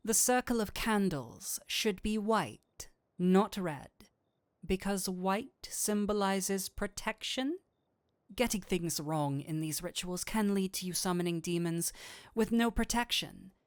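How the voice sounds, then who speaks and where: A man playing a flirtatious incubus demon in a roleplay audio drama, with a teasing, theatrical, drawn-out delivery. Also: high-pitched, clear, resonant tone, no room sound, clean studio sound, exaggerated voice. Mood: instructive. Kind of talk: scripted roleplay audio drama, addressing the listener.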